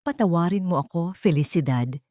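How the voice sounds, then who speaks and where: A woman's voice: lowish voice, expressive, normal speed, warm tone, dry recording, studio quality, natural voice. A woman voicing a remorseful, tender wealthy father in an audiobook drama, murmuring with regret.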